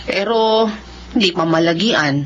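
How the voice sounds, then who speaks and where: A woman playing a caring, hardworking Filipino mother in a radio drama, speaking gently and politely with expression. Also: fairly low pitch, a little slow, close to an everyday voice. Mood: resolved. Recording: dull lo-fi audio, faint noise.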